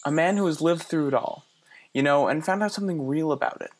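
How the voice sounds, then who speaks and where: Man acting earnest young city fellow, radio play, slightly high voice, halting stammer.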